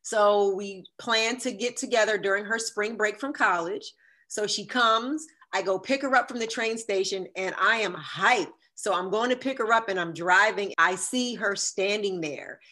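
Deep-voiced woman telling her story. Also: normal speed. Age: 50s. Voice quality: deep, sultry voice. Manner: animated, acts out quoted dialogue. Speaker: podcast guest. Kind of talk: storytelling in a conversational interview.

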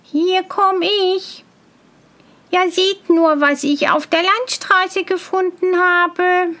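A woman's voice: extremely high pitch, fairly even intonation, slow pace, pushed and bright, pitched above the performer's own voice, exaggerated voice. A woman voicing a cheerful, brash and naive young simpleton in a fairy tale, full of carefree exclamations. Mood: gleeful. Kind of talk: fairy tale storybook reading.

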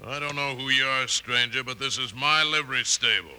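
A very bright, hard-edged male voice over faint noise. a gruff stable owner in a radio western, indignant and defiant. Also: mid-range voice, a little fast, poor audio. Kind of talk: 1950s radio western acting.

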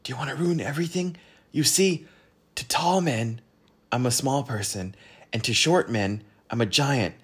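A man voicing a proud, absent-minded ordinary fellow who talks brightly and eagerly. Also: medium pitch, normal speed, slightly rough, pitched above the performer's own voice. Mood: confiding. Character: chirpy, absent-minded ordinary man posing as four oddities.

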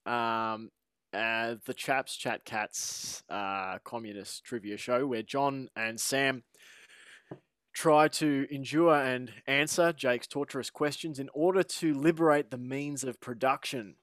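A man's voice: mid-range voice, slow, a bit bright, dry recording, studio quality. A man with an Australian accent hosting a casual sports fan podcast, speaking in a wordy, mock-formal way as quizmaster.

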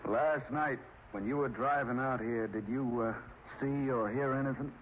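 An actor playing a weary, plain-spoken small-town sheriff in an old radio drama, with a folksy, hesitant manner. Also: male voice, mid-range voice, steady tone, normal speed, lightly acted. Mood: grave. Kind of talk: 1940s radio drama acting. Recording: dull, hissy old radio recording.

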